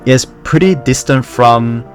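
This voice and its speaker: A young man from Korea with a Korean accent, talking to camera in a relaxed, conversational way about how he learned a language. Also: mid-range voice, measured pace, soft background music. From South Korea. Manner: relaxed, conversational, with fillers like "you know" and "like". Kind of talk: casual talk to camera.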